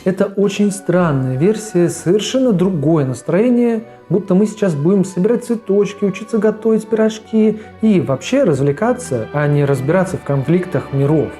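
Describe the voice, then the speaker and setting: A man's voice: a little high, lively intonation, moderate pace, hardly any pauses, warm tone. A man hosting a cartoon review in a casual video essay narration style. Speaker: host of a cartoon review show. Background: background music under the voice.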